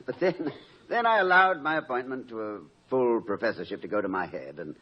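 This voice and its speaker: Guy doing middle aged college president, dry wit, real expressive, 1950s radio show, dull old sound. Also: medium pitch, brisk, natural voice. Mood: nostalgic. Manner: eloquent, wordy, dryly witty. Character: erudite, wry, fond male college president. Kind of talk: 1950s radio comedy acting. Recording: muffled old radio recording with noise.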